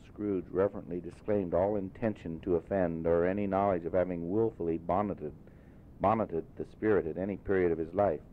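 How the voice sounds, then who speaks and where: A man narrating a literary story as an audiobook reader, in deliberate phrases. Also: fairly even intonation. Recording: muffled phone-like audio, rumbling noise.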